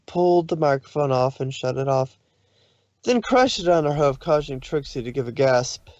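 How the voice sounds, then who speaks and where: A man narrating a scripted story, somewhat haltingly, with occasional stumbles over the words. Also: medium pitch, expressive, darkish tone, dry recording, muffled recording.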